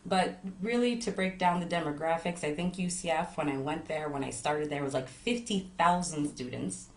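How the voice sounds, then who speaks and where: Low-voiced, nervous young woman from Montreal. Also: normal speed. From Montreal, Canada. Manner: chatty, informal, rambling. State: nervous, a little sleepy. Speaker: travel content creator. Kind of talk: casual personal chat to online viewers. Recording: echoey room.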